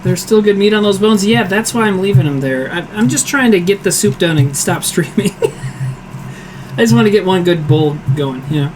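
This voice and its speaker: A hoarse man hosting a casual cooking livestream from his home kitchen, chatty and self-deprecating, answering viewers aloud as he works. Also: sits high, animated, normal speed, noisy background. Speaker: streaming cooking show host.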